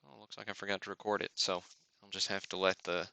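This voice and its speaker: A man's voice: low voice, flat delivery, fast, creaky, bright, forceful tone, no echo. A man hosting a live card-opening stream with casual, off-the-cuff commentary and muttered asides to himself. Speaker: host of a sports card break livestream.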